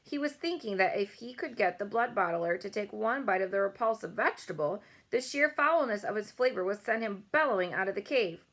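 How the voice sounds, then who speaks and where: A woman's voice: medium pitch, expressive, brisk, few pauses, a bit bright. A woman narrating a children's story as a read-aloud. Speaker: storybook reader.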